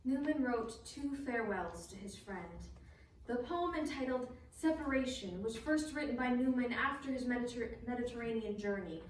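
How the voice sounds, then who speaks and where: A woman reading scripted historical narration in a measured, formal way for a stage performance. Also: fairly high pitch. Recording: distant, very echoey, faint background noise.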